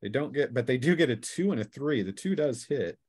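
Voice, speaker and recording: A man's voice: medium pitch, lively intonation, a little mellow, husky, no room sound. Casual man running a tabletop game. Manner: casual, halting narration mixed with rules talk. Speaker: game master. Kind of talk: narrating a tabletop role-playing game.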